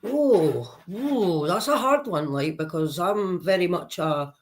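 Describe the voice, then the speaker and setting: A man's voice: high voice, lively intonation, slow. A man who is a guest on a live stream, answering casually and hesitantly.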